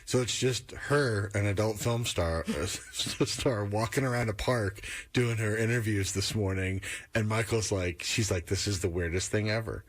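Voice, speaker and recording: A man with a fairly low voice co-hosts a radio show. He chats casually and tells things in a wry, matter-of-fact manner. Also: normal speed.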